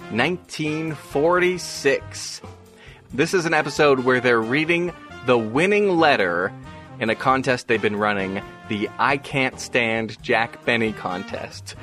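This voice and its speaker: A man's voice: mid-range voice, a bit bright. A man hosting a podcast, casually introducing an old radio episode. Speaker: podcast host. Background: music.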